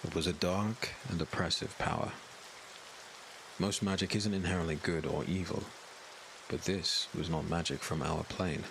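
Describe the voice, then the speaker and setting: A man narrating a scripted dark fantasy audiobook in a grave, dramatic storytelling manner. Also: low voice, fairly even intonation, moderate pace, gravelly, noisy background.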